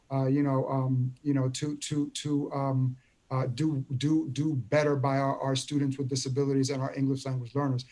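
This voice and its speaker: Man in his fifties, school superintendent, slightly high voice, flat and even delivery, halting speech, video call. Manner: halting, many repeated words and restarts. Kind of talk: public meeting remarks.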